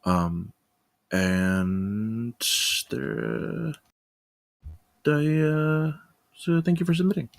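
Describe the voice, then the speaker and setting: A man giving casual spoken feedback as a table reader, with measured, hesitant phrasing. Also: medium pitch, fairly even intonation, vocal fry, a little mellow, no echo.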